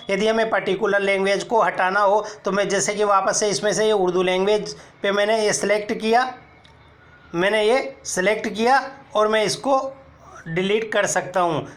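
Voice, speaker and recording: A man. He goes one step at a time, pausing to point things out and telling viewers to look here. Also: high-pitched. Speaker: tech tutorial presenter. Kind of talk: screen-recorded how-to explanation.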